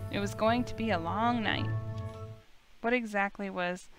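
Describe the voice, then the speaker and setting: A woman's voice: medium pitch, measured pace. A woman reading scripted story narration for a visual novel as its narrator. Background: loud music.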